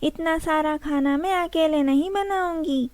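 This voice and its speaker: Woman as a high-voiced mother rabbit. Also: a little slow, darkish tone. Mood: obliging. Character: mother rabbit, mildly scolding but obliging. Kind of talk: children's cartoon story acting.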